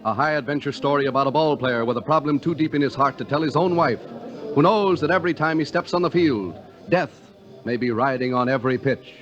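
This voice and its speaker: A male American radio announcer of the late forties reads scripted narration and sales copy. He is polished and salesmanlike, mid-pitched, heard on a slightly muffled old broadcast recording. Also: fairly quick, fairly bright tone, soft background music. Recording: dull vintage radio recording.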